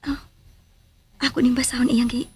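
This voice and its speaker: An actress with a Filipino accent playing a loving but uneasy young wife in a radio drama, musing hesitantly. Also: female voice, on the high side, little pitch movement, normal speed, close to an everyday voice. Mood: puzzled. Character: loving young wife, uneasy and secretly doubting her husband. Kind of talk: Philippine radio drama acting.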